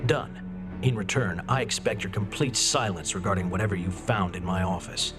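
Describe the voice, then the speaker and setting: An actor playing an arrogant, shady senator in a video game, haughty and measured with a scornful temper. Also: male voice, low voice, lightly acted. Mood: grudging threat. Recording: loud music over the voice.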